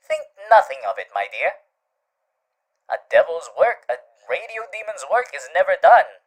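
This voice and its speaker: A suave male demon for an ASMR roleplay, speaking slowly with wide, theatrical swings of pitch. He is courtly and teasing, yet tenderly devoted to his listener. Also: very animated, exaggerated voice, rough recording. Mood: playful, teasing. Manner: courtly, theatrical, hushed turns into gleeful chuckles.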